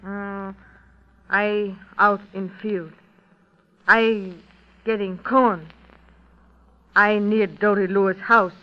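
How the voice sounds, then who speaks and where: An actress playing a stubborn, solemn mother on the witness stand, speaking terse, halting broken English in an old radio drama. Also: medium pitch, fairly even intonation. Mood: stolid, halting. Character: stubborn, solemn Native woman, mother giving testimony. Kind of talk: 1930s radio drama acting. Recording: dull old radio recording.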